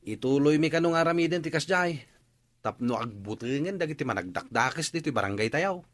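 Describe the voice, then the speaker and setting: A man's voice: a little high, lively intonation, a little fast, close to an everyday voice. A man with an Ilocano accent playing an encouraging villager giving advice in a radio drama. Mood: assured.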